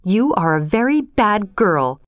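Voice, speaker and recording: A stern, sensible storybook guardian, as a woman reader plays her. The woman's voice is smooth and clear, firm and measured, in a very dry recording. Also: mid-range voice, sing-song, dry recording, lightly acted. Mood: angry. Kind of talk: storybook character voice.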